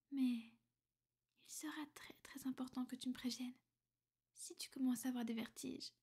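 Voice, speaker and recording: A woman playing a soft, high-voiced, tender vampire lover. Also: close to an everyday voice. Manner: soft, intimate, often whispering, slow and caring. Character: centuries-old female vampire girlfriend, tender, possessive, refined. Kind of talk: French ASMR roleplay.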